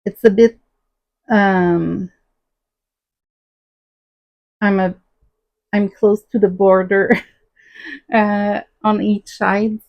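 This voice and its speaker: Slow, hesitant French-Canadian woman. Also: mid-range voice, fairly even intonation, gravelly, lo-fi sound. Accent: Québécois French. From Quebec, Canada. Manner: halting, many ums and uhs, soft-spoken. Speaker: crafting channel host. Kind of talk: casual crafting vlog.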